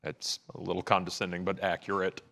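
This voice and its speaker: A man's voice: sits low, level tone, brisk, creaky, bright, forceful tone. A man playing a tabletop game, casually narrating and speaking for his character.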